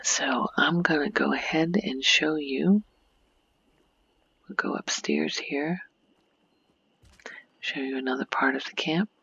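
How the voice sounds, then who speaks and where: A woman softly narrating an ASMR video game tour in a breathy, near-whispered voice, explaining gently and without hurry. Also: low voice, slow, pauses often, no room sound, muffled recording.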